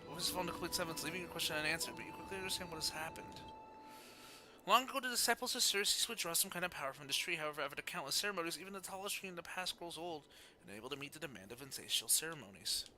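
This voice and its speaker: A man's voice: fairly high pitch, sharp tone, slight background noise. A man hosting a casual game livestream, chatting and reading story text aloud. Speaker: video game streamer.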